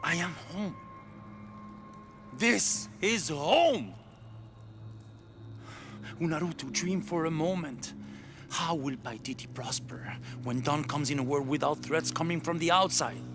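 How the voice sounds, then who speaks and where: A man's voice: on the high side, takes it slowly, long pauses, music in the background. An actor playing an ambitious male ruler of a hidden city, intense and commanding in a video game cutscene. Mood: persuasive. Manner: intense, pleading then commanding.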